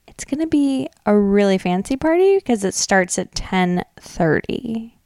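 A woman's voice: mid-range voice, expressive, unhurried, a little mellow, no room sound, clean studio sound. An American woman co-hosting a podcast, retelling things casually in informal, slangy speech peppered with "like". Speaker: podcast co-host. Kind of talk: casual chatty retelling and commentary.

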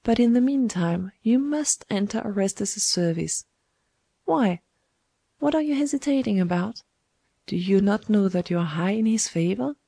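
A woman's voice: medium pitch, animated, a little slow, vocal fry, dark tone, breathy edge, no room sound, exaggerated voice. A woman voicing a sly, domineering old woman schemer in a storybook, with a taunting, wheedling manner. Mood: imperious, coaxing. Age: older.

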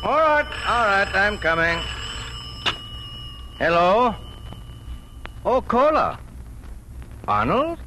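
A man's voice: sits high, big pitch swings, extremely slow, pauses often, bright, forceful tone, a little hiss or hum, exaggerated voice, low-quality recording. An actor playing a hearty Norwegian immigrant farmer father in 1940s radio style. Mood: easygoing. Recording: 1940s radio broadcast with music.

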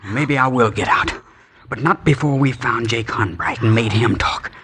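An actor in a 1950s radio western playing a bitter, hot-headed young prospector, blunt and quick to anger. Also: male voice, mid-range voice, close to an everyday voice. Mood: defiant. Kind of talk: 1950s radio drama acting. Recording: old radio recording with hiss.

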